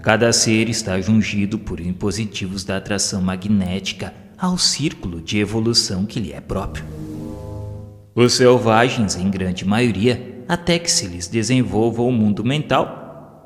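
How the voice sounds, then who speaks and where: A man's voice: fairly low pitch, expressive, a little slow. A man with a Brazilian accent narrates a scripted audio novel in a solemn, formal literary manner. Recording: long reverb over music. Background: music.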